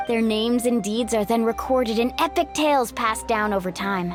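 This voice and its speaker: A woman's voice: a little high, moderate pace, close to an everyday voice. A woman playing an upbeat, encouraging young woman warrior in an anime game, with a youthful, confident voice. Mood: proud. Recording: music behind.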